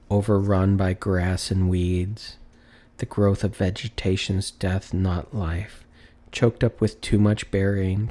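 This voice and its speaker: A man narrating a classic story in a solemn, measured, theatrical style. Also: low voice, dark tone. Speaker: audiobook narrator.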